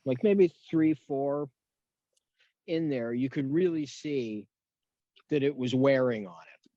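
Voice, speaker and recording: A man's voice: mid-range voice, takes it slowly, mellow, no room sound. A man reminiscing casually and in a rambling way on a group video call. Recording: dull, dry video-call audio.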